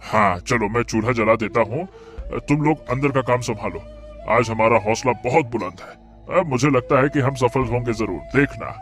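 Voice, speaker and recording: An actor playing an earnest, grateful village eatery owner in a Hindi cartoon story, with drawn-out interjections. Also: male voice, mid-range voice, close to an everyday voice. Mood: eager. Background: background music.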